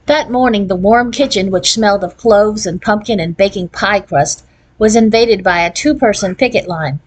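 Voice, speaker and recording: Lady reading a kids book out loud, expressive, medium pitch. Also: moderate pace. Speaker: storyteller reading a children's novel aloud. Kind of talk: expressive read-aloud narration.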